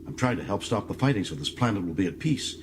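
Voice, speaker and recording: An actor playing a resolute middle-aged starship captain in an audiobook, questioning in an earnest, emphatic way. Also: male voice, on the low side, lively intonation, fast, background noise, natural voice. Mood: probing.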